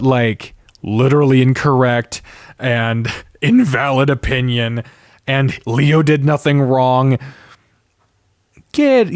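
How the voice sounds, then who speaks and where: A man's voice: mid-range voice, dry recording. Exasperated, sweary man in a dry recording. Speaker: podcast host. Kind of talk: casual opinionated rant with read-out comments.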